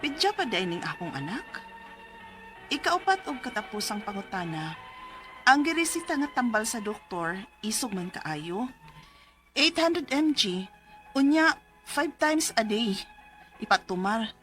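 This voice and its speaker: A woman's voice: a little high, big pitch swings, a little slow, long pauses, a bit bright, soft background music. An actress playing a worried middle-aged Cebuano mother, reading her letter of troubles in an anxious, questioning, emphatic way for a radio drama. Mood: anxious, pleading.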